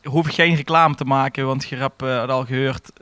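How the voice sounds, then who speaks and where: A man's voice: medium pitch, steady tone, fairly quick, bright, forceful tone, dry recording. A man with a Dutch Limburg accent, co-hosting a podcast with casual chat and informal storytelling. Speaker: podcast co-host.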